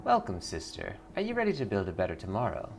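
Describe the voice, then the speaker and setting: A man's voice: on the low side, wildly swooping pitch, quick pace, faint music behind. A man voicing a blissful, eager cult greeter in a video game, sweetly pious and salesy. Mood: welcoming, zealous.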